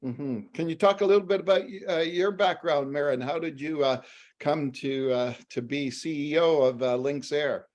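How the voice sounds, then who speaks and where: A man's voice: on the high side, normal speed, a little mellow. A man interviewing a business leader, asking questions hesitantly with many fillers.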